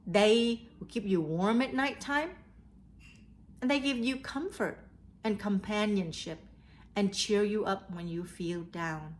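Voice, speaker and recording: A woman hosting a read-aloud for children, telling stories and giving advice in a deliberate way. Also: mid-range voice, a little hiss or hum. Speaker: host of a children's read-aloud storytelling show. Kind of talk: storytelling and advice addressed to children.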